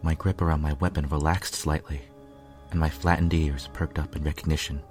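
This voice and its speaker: A man's voice: very deep voice, little pitch movement, a little fast, darkish tone. A man narrating a fan fiction story as a lovelorn pony royal guard, grave and dramatic. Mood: tense. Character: male pony royal guard telling his lovelorn tale. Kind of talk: scripted first-person story narration. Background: music.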